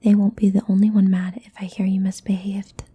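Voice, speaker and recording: A woman voicing a playful, possessive demon in a soft, breathy, coaxing whisper for an ASMR roleplay. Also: mid-range voice, level tone. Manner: slow, coaxing, teasing.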